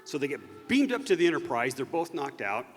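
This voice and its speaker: A man telling a story casually on a convention stage, in a halting, rambling way with many false starts, recorded in an echoey hall. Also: mid-range voice, sing-song, faint music behind. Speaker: panelist recounting unproduced TV episodes.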